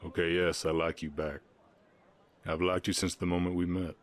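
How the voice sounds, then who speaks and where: Orc cowhand played by a man: extremely low-pitched Southern drawl, gentle, reserved, halting, for intimate ASMR romance. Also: flat delivery, natural voice. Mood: flustered, yielding. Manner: halting, sighing, earnest. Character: gentle, reserved orc ranch hand in love. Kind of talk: intimate ASMR romance roleplay, Western setting.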